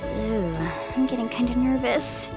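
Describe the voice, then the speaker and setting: A woman's voice: on the high side, unhurried, over music. A woman playing an earnest, upbeat young island tour guide in a video game, talking casually. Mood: nervous, explaining. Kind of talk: English video game voice acting.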